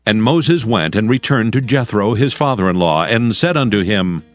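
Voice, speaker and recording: A man narrating scripture from a script in a measured, formal manner. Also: fairly low pitch, expressive.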